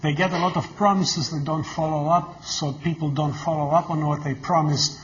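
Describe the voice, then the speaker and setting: A man's voice: fairly high pitch, fairly even intonation, normal speed, a little hiss or hum. An older man from Greece, a guest lecturer answering audience questions in a direct, emphatic, plain-spoken way. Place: lecture hall. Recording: slightly muffled lecture recording.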